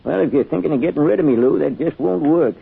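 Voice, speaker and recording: An actor playing a kindly, patient old grandfather's ghost, folksy and unhurried, in an old-time radio drama. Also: fairly high pitch, exaggerated voice. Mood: cheerful, insistent. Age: older. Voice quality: gravelly, husky. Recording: muffled old radio recording.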